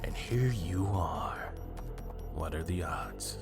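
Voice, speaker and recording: A man acts out an arrogant villain from an anime fan drama. The voice is deep and taunting, with theatrical menace in its delivery. Soft music plays underneath. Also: animated, slow. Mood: mocking, suspicious. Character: arrogant male time-destroying villain, mocking and menacing.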